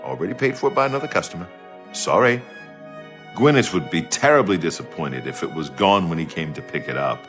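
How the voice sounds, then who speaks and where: A courteous fantasy bookseller with a salesman's polish. His voice sits fairly low, over faint background music. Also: expressive, fairly quick, fairly bright tone. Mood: apologetic. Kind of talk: video game dialogue acting.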